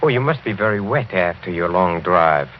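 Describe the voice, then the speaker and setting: An actor playing a suave, sinister count with a jovially mocking manner in a radio horror drama. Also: male voice, sits low, expressive, moderate pace. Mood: mocking, urbane. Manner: jovial mockery, hesitant chuckling, urbane. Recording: muffled old radio with faint noise.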